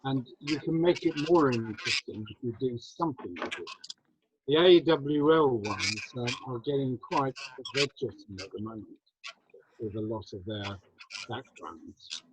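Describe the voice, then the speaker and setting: An older man from England talks in a casual, anecdotal way at a middling pitch. He is on a video call, with clear noise behind his voice. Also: moderate pace. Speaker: actor. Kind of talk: casual chat on an online workshop. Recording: noisy home video call.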